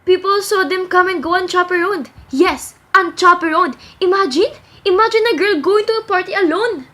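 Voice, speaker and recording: Woman acting very high-pitched indignant busybody mother. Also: moderate pace, fairly bright tone. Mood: scolding. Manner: scolding, haughty. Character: indignant gossiping mother fussing over her son's honour. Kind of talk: amateur radio play acting.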